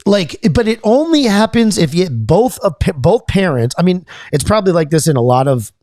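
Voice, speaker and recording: A man's voice: sits high, very animated, normal speed, darkish tone, no echo, clean studio sound. A man from Florida with a Florida accent, chatting casually as a podcast host and rambling with frequent repeats and restarts. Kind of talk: casual chat.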